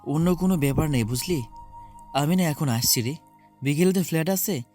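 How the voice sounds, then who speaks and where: A fairly high young male voice played by a man as a hesitant student dodging questions. It is audio-story acting over a music bed. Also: brisk, a little mellow, lightly acted. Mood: nervous, evasive. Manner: hesitant, evasive. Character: evasive young male university student, uneasy.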